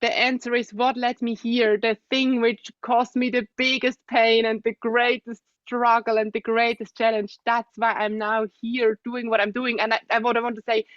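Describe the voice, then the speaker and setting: Soft-spoken Swiss woman, muffled dry sound. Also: fairly high pitch, flat delivery, normal speed, few pauses, dry recording. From Switzerland. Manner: thoughtful, soft-spoken, frequent gentle laughs and hums of assent. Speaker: guest, osteopath. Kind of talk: reflective interview conversation.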